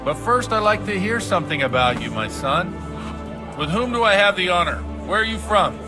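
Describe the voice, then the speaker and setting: An actor playing a worldly, kindly but principled middle-aged village priest in a video game, speaking in a measured, fatherly way. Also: sits high, animated, over music. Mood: sympathetic, curious. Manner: measured, fatherly, gently philosophical. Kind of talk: video game cutscene acting.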